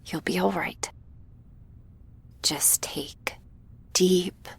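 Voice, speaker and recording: A woman playing a shy, gentle vampire girl in an ASMR roleplay, speaking softly and hesitantly, awkward but reassuring, often slipping into a whisper. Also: on the low side, steady tone, full character voice. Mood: soothing, apologetic. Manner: hesitant, halting, soft-spoken with breathy whispers. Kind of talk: ASMR roleplay addressed to the listener.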